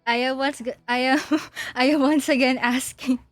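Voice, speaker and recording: A young woman from Asia hosting a livestream, chatting casually in a halting, giggly way. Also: high voice, steady tone, no echo, faint music behind. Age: young adult. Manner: giggly, frequently laughing mid-sentence. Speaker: livestream host. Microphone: mic on a boom arm.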